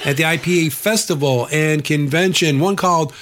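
A man's voice: a little high, moderate pace, slight background noise. A man hosting a polka radio show, sounding congested and nasal from an illness, with an easygoing delivery full of "uh"s. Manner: relaxed, filler-heavy, hesitant delivery. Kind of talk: radio DJ patter.

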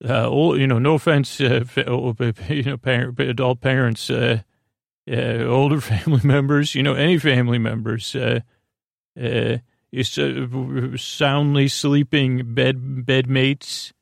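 A man with a gravelly, creaky voice hosts a sleep podcast in a lulling ramble. He speaks haltingly at a middling pitch and wanders off into tangents. The sound is close and dry. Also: steady tone, no echo. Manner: meandering, halting, trails off into tangents; soothing, lulling delivery. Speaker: podcast host. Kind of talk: rambling bedtime podcast monologue.

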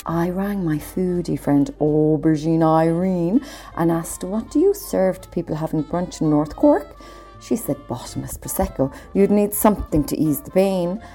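A woman playing a sharp-tongued Irish agony aunt in a scripted comic advice column, sardonic and wisecracking. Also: lowish voice, normal speed, breathy edge, faint music behind. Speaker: agony aunt answering letters.

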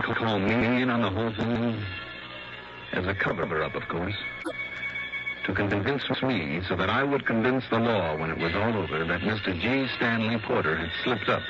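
A man's voice: lowish voice, natural voice. An actor playing a shrewd, wary private investigator in a 1960s radio drama, narrating his case in a clipped, world-weary way. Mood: brooding, suspicious. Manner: clipped, world-weary first-person telling. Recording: dull old radio broadcast, music behind.

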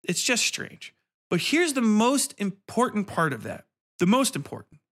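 A man with a slightly high voice talks as a podcast host reviewing a film. He is casual and opinionated, swearing freely and sounding incredulous. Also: huge pitch swings, a little slow, a bit bright. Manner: profane, incredulous.

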